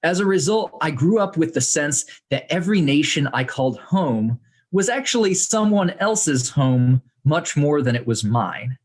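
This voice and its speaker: A young adult man from the United States, reading aloud from his own book to an audience in a measured way with dry humor. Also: on the high side, lively intonation. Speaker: author reading and speaking to an audience.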